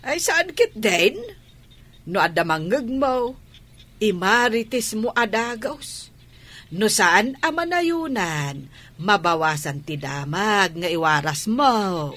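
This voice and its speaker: A woman's voice: medium pitch, sing-song, slow pace, fairly bright tone, full character voice. A woman with an Ilocano accent playing a scolding, sarcastic village neighbour in a radio drama.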